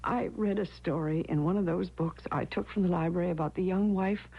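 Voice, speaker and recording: An actress playing an anxious, devoted young wife in an old-time radio drama, with earnest, dramatic delivery. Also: female voice, lowish voice, fairly quick, creaky, breathy edge. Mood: frightened, haunted. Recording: dull vintage radio recording.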